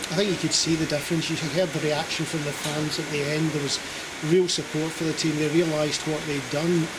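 A man's voice: a little high, level tone, brisk, heavy background noise. A man interviewing a football manager after a match, asking leading questions.

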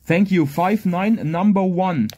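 A man's voice: high-pitched, takes it slowly, background noise. A man working amateur radio contest exchanges outdoors, repeating short clipped phrases and callsigns in phonetics. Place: outdoors at a garden picnic table.

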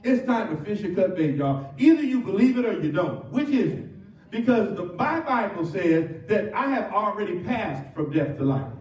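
High-voiced pastor preaching in a roomy church. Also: male voice, normal speed, distant mic. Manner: animated call-and-response preaching. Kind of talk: sermon. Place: church sanctuary with cross wall.

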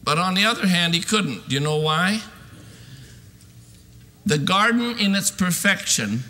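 A man in his sixties preaching a fervent sermon in an emphatic, declamatory way with drawn-out stressed phrases. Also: high-pitched, lively intonation, pushed and bright. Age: 60s. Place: large church sanctuary, at a pulpit. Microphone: podium microphone. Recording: reverberant hall sound.

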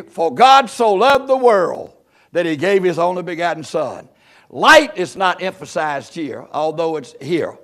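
A man's voice: high-pitched, a bit bright. A man preaching a sermon, expounding scripture with emphatic, repeated phrases and questions put to his listeners. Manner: emphatic, repetitive cadences, rhetorical questions to listeners. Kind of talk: sermon, expounding Bible verses to a congregation.

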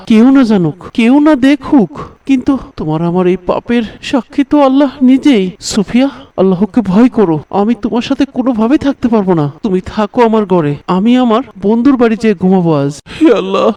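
Man as pious young cartoon man, very high for a male, anguished, devout, emphatic. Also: warm tone. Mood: pleading prayer. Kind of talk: moral cartoon melodrama, prayer.

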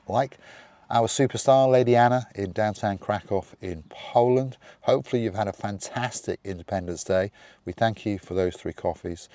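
A middle-aged man hosting a vlog in casual chat, giving cheerful shout-outs and calling viewers by playful mock noble titles. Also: sits low. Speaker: vlog host.

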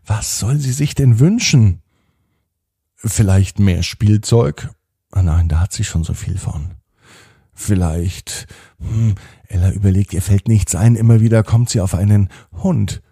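A man telling a bedtime story for children as a podcast host, speaking deliberately with repeated phrases and drawn-out emphasis. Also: fairly low pitch, gravelly, dark tone, breathy edge, no echo, clean studio sound.

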